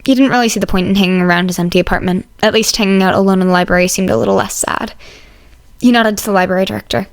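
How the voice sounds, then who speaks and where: A woman narrates a scripted story in audiobook style. Her voice sits at a middle pitch, and the recording is very dry with no room sound. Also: steady tone, rapid-fire, gravelly, no echo.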